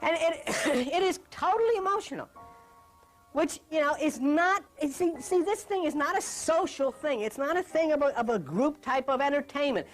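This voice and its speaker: A woman's voice: high-pitched, lively intonation, moderate pace, soft background music. A woman giving an informal spiritual lecture in an emphatic, insistent way, repeating phrases for weight. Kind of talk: informal lecture on meditation and religion.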